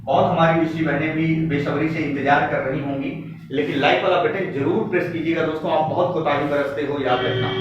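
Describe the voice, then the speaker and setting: A man giving an informal lesson to students as a coaching teacher, emphatic and repetitive, speaking directly to his listeners. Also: on the high side. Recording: very echoey room, distant mic, dull, faint noise.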